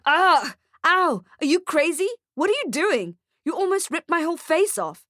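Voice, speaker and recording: A woman's voice: fairly high pitch, big pitch swings, unhurried, a bit bright, no room sound, clean studio sound, voice pushed up. A woman voicing a weary, exasperated man who plays a mall Santa in a storybook, his politeness strained by irritation. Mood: pained, alarmed. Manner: forced politeness breaking into irritation.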